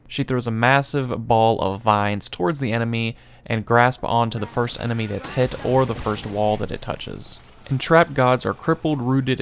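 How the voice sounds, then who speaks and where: A man's voice: mid-range voice, vocal fry, slight background noise. A man giving matter-of-fact, instructional narration for a gaming video, reading from a script.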